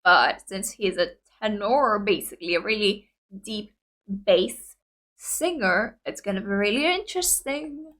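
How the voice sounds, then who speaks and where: A young woman whose first language is Greek, hosting a reaction video in an excitable, chatty way full of fillers. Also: mid-range voice, measured pace. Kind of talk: casual reaction chat.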